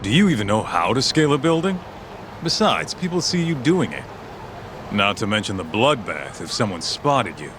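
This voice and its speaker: Sarcastic sidekick with lively swoops. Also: male voice, on the low side, moderate pace, background noise. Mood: skeptical. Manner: slangy, bantering, skeptical. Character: sarcastic, cautious male sidekick, streetwise and casual. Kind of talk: English game dub acting.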